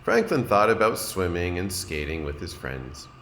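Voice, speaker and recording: A man, a kindergarten teacher, reading a storybook aloud to young children in an expressive, deliberate way and addressing his listeners. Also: low-pitched. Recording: roomy echo, noticeable background noise.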